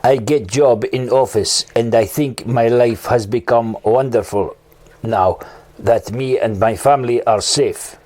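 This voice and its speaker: A man doing a loud broken-English runaway. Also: medium pitch, unhurried, pitched below the performer's own voice, lightly acted. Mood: earnest. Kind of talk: comedy sketch.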